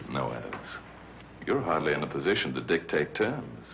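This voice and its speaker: Very deep-voiced, cold serial villain. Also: male voice, big pitch swings, vocal fry, heavily acted. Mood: smugly menacing. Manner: measured, coolly threatening, formal. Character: cold, menacing villain commander, smugly in control. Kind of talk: 1940s film serial acting. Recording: noisy, muffled old film soundtrack.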